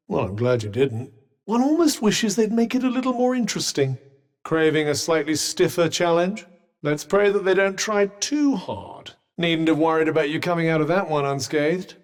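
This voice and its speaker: A man's voice: a little high, lightly acted. Droll posh British magical companion in long reverb, acted by a man. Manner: posh, droll, understated, faintly condescending. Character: dry, haughty, sardonic talking magical cuff companion. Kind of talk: video game companion voice lines.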